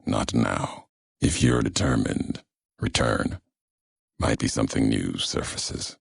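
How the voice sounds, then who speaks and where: A brooding, philosophical wasteland wanderer from a video game, vengeful and solemn. His voice is heavily gravelled and very husky, and he delivers clipped, cryptic lines at a slow, weighty pace. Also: extremely low pitch, no echo, clean studio sound, full character voice. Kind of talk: video game voice acting, slow portentous monologue.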